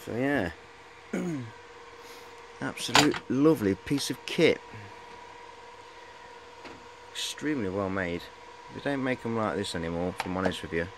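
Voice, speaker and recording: A man in his forties with a fairly low voice gives a casual, rambling show-and-tell. He is matey and hesitates often as he goes. It is recorded on a handheld camera indoors, with some noise behind him. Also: expressive, moderate pace. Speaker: hobbyist showing high-voltage equipment. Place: cluttered indoor workshop. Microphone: camera at arm's length.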